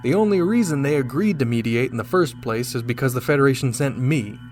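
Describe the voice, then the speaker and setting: A man's voice: mid-range voice, lively intonation, brisk, a little mellow, soft background music, natural voice. A man playing a dashing, self-assured starship captain in a radio drama, speaking with confident command. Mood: proud, assured.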